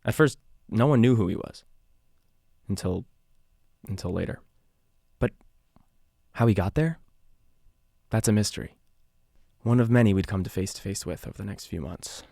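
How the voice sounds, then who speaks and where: A man playing a haunted investigative podcast host, narrating conversationally and confidingly with pauses for effect. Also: lowish voice, creaky, dark tone, no room sound, clean studio sound. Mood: musing, troubled. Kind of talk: conversational scripted true-crime narration.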